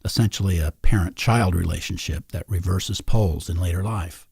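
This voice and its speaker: Male literary host, husky and mellow, thoughtful and deliberate, close dry sound. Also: sits low, no echo. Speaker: interviewer, show host. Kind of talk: reflective literary interview.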